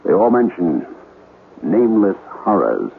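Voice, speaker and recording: An actor playing an excitable, emphatic businessman client in a radio drama, agitated about a haunted house. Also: sits low, measured pace, creaky, heavily acted. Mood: alarmed. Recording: dull, noisy old radio broadcast.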